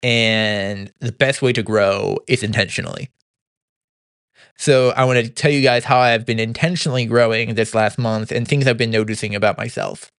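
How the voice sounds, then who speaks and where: Young guy doing a chatty podcast update, smooth voice, dry close mic, lots of restarts and stammers. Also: medium pitch, steady tone, a bit bright, no room sound. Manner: halting, many restarts and stammers; upbeat, laughs easily. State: throat sometimes tight and slightly gravelly. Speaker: podcast host. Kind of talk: casual monthly recap monologue.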